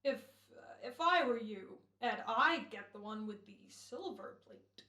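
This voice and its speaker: Woman as brisk radio director, echoey room. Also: fairly high pitch, expressive, pushed and bright. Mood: businesslike. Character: brisk, bossy radio director, wry and self-assured. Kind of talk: old-time radio comedy acting.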